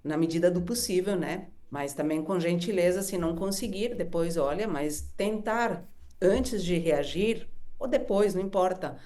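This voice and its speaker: A woman facilitator on a live stream, speaking gently and reflectively about relationships, often ending thoughts with soft tag questions. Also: fairly low pitch, moderate pace. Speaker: workshop facilitator. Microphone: live stream from home.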